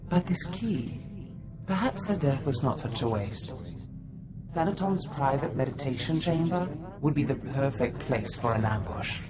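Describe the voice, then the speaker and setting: An actor playing a scheming Sith mentor who gives counsel in a video game cutscene, measured and formal. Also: medium pitch, lively intonation, music in the background, lightly acted, rough recording. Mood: calculating.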